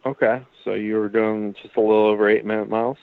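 A man's voice: on the low side, little pitch movement, fast, creaky. A man co-hosting a podcast, ribbing the others with brash, profane remarks. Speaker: co-host. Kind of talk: casual podcast chat. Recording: tinny phone-like line.

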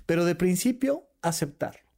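A man hosting a podcast, talking casually about relationships and acting out bickering couples in quick quoted voices. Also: gravelly. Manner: animated, swings between high and low pitch. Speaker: podcast host.

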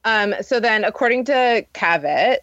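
A woman's voice: a little high, animated, unhurried, a bit bright, dry recording, muffled recording. A woman speaking as a writer and editor guest on an interview show, in a casual conversational style. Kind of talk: casual interview chat with article reading.

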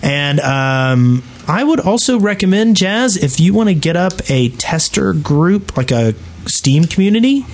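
A man's voice: a little high, sing-song, a little slow, few pauses. A man co-hosting a podcast in casual, joking gaming chat and reading out listener mail. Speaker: podcast co-host.

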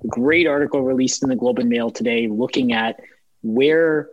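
Brief: husky male panelist, builds his case step by step, dry lo-fi call audio. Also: medium pitch, normal speed, no echo. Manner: explanatory, builds to a point with examples. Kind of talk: panel discussion.

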